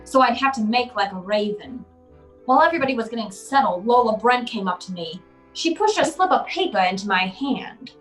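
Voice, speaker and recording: Tough lady gumshoe narrator played by an actress, higher-set voice, wry radio noir delivery over music. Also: animated, brisk, a bit bright, low-quality recording. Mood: dry, wry. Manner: dry, wry, tough storytelling. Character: hardboiled female private eye narrating her case, wry.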